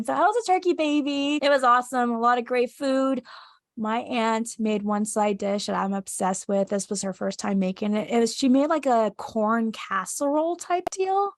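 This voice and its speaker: A woman's voice: on the high side, creaky, no echo. A young woman co-hosting a casual fan podcast, stuffy from a cold, giggly and chatty. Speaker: podcast co-host.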